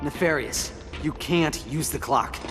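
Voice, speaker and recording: Man playing a highish, plucky young hero. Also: measured pace, over loud music, lightly acted. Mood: urgent, earnest. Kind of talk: video game cutscene acting.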